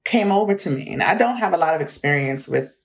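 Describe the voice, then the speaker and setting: A woman in her forties speaks in a fairly low voice as a guest in an interview. She talks in a candid, reflective way and laughs easily between earnest points. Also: lively intonation, fast. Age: 40s.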